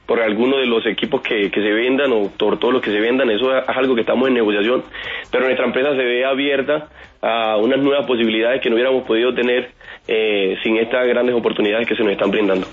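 A man's voice: mid-range voice, steady tone, fast talker, slightly rough. A man in his twenties from Antioquia with a mixed Antioquian and coastal Colombian accent, answering radio interview questions earnestly with many fillers. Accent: Antioquian with Colombian Caribbean coast touches. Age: 20s. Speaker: inventor guest. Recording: tinny phone line.

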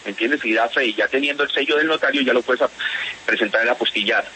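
A Spanish-born man who speaks with a Bogotá accent, giving a radio interview and talking haltingly with many repeats and restarts. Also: medium pitch, bright, forceful tone, a little hiss or hum, rough recording. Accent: Bogotá Colombian Spanish. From Spain. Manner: hesitant, repeats words, restarts. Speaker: interviewee, whistleblower.

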